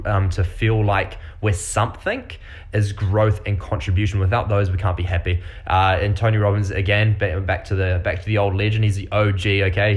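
A young man with a New Zealand accent hosting his own podcast, talking casually and enthusiastically, rambling with many fillers and restarts. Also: fairly low pitch. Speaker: podcast host. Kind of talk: casual solo podcast talk.

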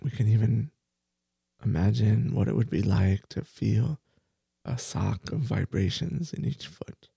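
Slow gravelly-voiced man guiding sleep meditation. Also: lowish voice, no echo. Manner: slow, soft, soothing guidance with repeated phrases. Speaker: meditation teacher.

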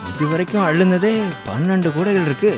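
An actor playing the disciple John in a radio drama, speaking in plain, earnest colloquial talk. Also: male voice, high voice, brisk, natural voice. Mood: amazed. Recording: radio drama with soft music underneath.

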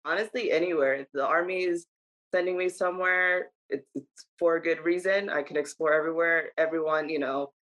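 A woman in her twenties, a soldier answering interview questions in an earnest, steady way, often repeating key phrases. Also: sits low, a little fast, poor audio. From Dominican Republic, raised in New Jersey. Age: 20s.

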